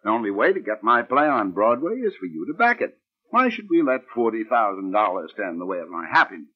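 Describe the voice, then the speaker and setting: An actor playing a smug, greedy, pushy playwright with an oily, grandiose manner in 1940s radio drama style. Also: male voice, lowish voice, big pitch swings, quick pace, slightly rough. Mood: wheedling. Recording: muffled vintage radio recording.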